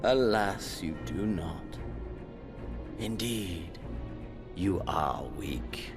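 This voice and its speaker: Menacing game overlord, cold, deep, dramatic intonation, measured archaic diction. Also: male voice, lively intonation, over music, heavily acted. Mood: cold contempt. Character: cold, menacing male imperial overlord judging a subordinate. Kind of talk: video game cutscene acting.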